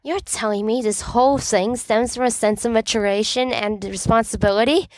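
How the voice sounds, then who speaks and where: A woman playing a dramatic, mischievous teenage boy in a storybook reading, with an excitable, playful delivery. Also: on the high side, moderate pace, dry recording, voice pushed up, natural voice. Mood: betrayed.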